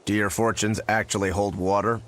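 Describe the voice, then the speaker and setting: A man's voice: lowish voice, little pitch movement, brisk, a bit bright, natural voice. A man playing an easygoing, kindly and mildly chiding swordsman in a video game. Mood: calm, curious.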